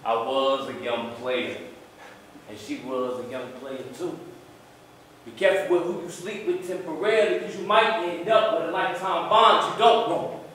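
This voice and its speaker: A man performing a theatrical stage monologue as an emotional young father recalling a birth, with deliberate dramatic pauses. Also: fairly high pitch, fairly bright tone, voice pushed up. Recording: very echoey room, distant mic, faint noise.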